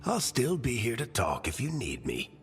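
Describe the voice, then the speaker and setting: A man's voice: mid-range voice, huge pitch swings, normal speed. An actor playing a wry, fatherly dwarf mentor in a video game, who calls the hero kid. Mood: encouraging. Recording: long hall reverb, faint music. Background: faint game music.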